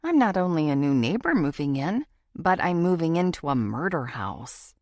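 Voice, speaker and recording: Low, creaky-voiced woman narrating an audiobook. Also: sing-song, normal speed, darkish tone, no echo, studio quality. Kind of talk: scripted first-person thriller audiobook narration.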